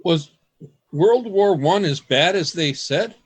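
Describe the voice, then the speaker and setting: A man's voice: sits high, expressive, slow, husky, full character voice. An actor playing a man from the present, speaking plainly about history in an amateur radio play. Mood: curious. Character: man from the present, sober and knowing.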